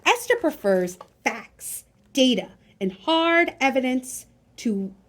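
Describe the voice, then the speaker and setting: A woman's voice: high-pitched, animated, slow, fairly bright tone. A woman hosting a children's storytime, reading a picture book expressively and chatting directly to her young listeners. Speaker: library storytime host and reader.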